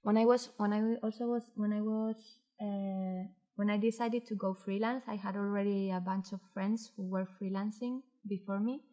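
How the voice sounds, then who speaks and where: Mellow, hesitant woman with a Spanish accent. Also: mid-range voice. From Spain. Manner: hesitant, searching for words. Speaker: interviewee, animation director and animator. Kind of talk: reflective interview talk.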